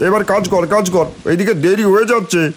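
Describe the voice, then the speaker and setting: Casting: male actor for a cartoon village landlord, high-voiced, brisk and scolding. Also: steady tone. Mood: impatient. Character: bossy village landlord ordering his workers about. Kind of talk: Bengali fairy-tale cartoon dubbing.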